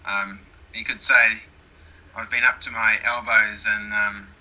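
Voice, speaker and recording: A man's voice: fairly low pitch, little pitch movement, a little slow, bright, forceful tone. A man with a New Zealand accent, a guest talking casually in an interview. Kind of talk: conversational interview. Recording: dull lo-fi sound.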